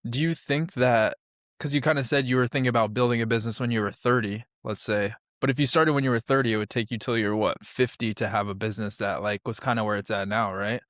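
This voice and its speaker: A man's voice: medium pitch, steady tone, fast talker, a bit bright, no echo, clean studio sound. A man hosting a podcast interview in a casual, conversational way. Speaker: podcast host.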